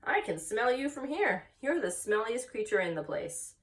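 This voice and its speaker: A woman's voice: on the high side, very animated, normal speed, distant mic, pitched above the performer's own voice. A woman voicing a rude, haughty young lamb for a storybook, blunt and taunting. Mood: scornful.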